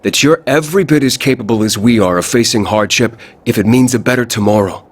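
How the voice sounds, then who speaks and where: An actor playing a confident, easygoing fighter with a proud, warm heart in a video game, speaking in an assured way. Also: male voice, medium pitch, a little fast, natural voice. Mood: proud, encouraging.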